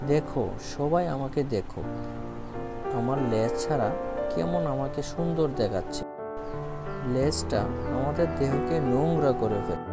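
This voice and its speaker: Man voicing a boastful, slow-talking sly fox. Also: medium pitch. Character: boastful, sly male fox chicken thief. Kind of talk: cartoon fable character voice. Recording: music under the voice.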